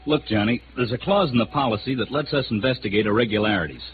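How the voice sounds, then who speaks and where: A man's voice: mid-range voice, fast talker, close to an everyday voice. An actor playing a harried, blunt insurance manager fretting his way through a vintage radio drama. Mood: worried. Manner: fretful, blunt. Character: worried, harried male insurance company manager. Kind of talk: 1950s radio drama acting. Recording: tinny, noisy vintage radio recording.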